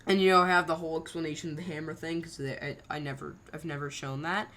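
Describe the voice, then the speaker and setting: A woman's voice: low voice, steady tone, fast talker, a bit bright. A woman hosting her channel, chatting casually through scrapped voice lines, rambling with slang exclamations. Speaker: channel host.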